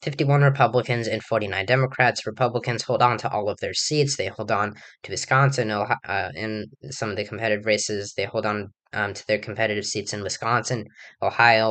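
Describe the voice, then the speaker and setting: A young man with a somewhat low, hoarse voice talks through political predictions off the cuff. He rambles, leans on fillers and keeps restarting his sentences. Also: steady tone, fairly quick, a bit bright. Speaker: political commentator. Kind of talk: casual election prediction monologue.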